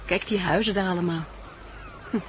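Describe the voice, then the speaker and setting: An actress playing an affectionate, level-headed female survivor in a 1960s Dutch radio drama, gentle and matter-of-fact, with a Netherlandic accent. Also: on the low side, steady tone, moderate pace, close to an everyday voice. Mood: pensive. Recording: noisy old 1960s radio recording.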